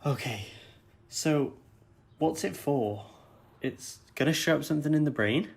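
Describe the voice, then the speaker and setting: A woman's voice: low-pitched, a little slow, gravelly, husky, exaggerated voice. A young woman, a student actor, playing a curious, slightly squeamish listener, asking hesitant questions in a naturalistic audio drama. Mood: inquisitive. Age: young adult. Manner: inquisitive, hesitant.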